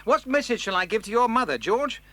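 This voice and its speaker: An actor playing an irascible, indignant uncle in a children's audio drama, brusque and blustering. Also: male voice, extremely high pitch, sing-song, brisk, sharp tone, full character voice.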